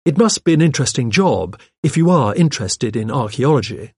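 A man's voice: medium pitch, expressive, fairly quick, dark tone, husky, no room sound, studio quality, pitched above the performer's own voice. A man voicing a poised, polite woman with a grave, courteous manner, as in an audiobook. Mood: polite, curious. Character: poised, polite woman, gently probing with questions. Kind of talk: audiobook character voice.

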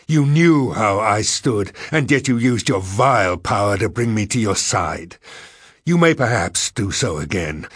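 A man's voice: lowish voice, husky, no room sound, studio quality. A man playing a bitter, aggressive male academic in an audiobook, speaking with emphatic, dramatic scorn. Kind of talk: audiobook character voice.

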